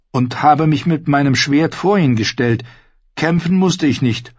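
A man acts out a shy young noble squire in an audiobook, with a raised, slightly husky voice. He speaks politely and solemnly, a little hesitant. The recording sounds dull. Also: on the high side, no echo, pitched above the performer's own voice. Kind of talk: audiobook character voice.

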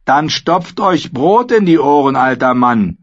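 A man's voice: a little high, animated, unhurried, dull audio, pitched above the performer's own voice. A man voicing a big, scornful, mutinous soldier in an audiobook, taunting and defiant. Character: big, insolent, club-footed male soldier, mutinous and scornful.

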